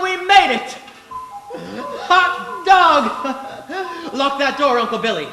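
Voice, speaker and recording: A man playing an earnest, excitable young banker with a stammering, folksy manner in a live radio play. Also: very high-pitched, exaggerated voice. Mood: excited. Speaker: stage actor. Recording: echoey stage with faint noise.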